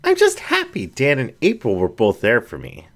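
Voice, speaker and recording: A man's voice: medium pitch, wildly swooping pitch, normal speed, a bit bright, voice pushed up, full character voice. A man voicing a bashful young female raccoon who hesitates and trails off in an audiobook reading. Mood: shy, open. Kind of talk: storybook reading.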